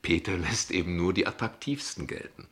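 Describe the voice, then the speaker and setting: A man playing an evasive, ironic father in a radio play, speaking wordily and with hesitations, in a husky voice. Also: low voice, moderate pace, fairly bright tone, full character voice. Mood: sardonic, defensive. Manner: wordy, sardonic, deflecting, with hesitations. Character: evasive, ironic, verbose father defending his unconventional family. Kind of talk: dialogue radio play acting in standard German.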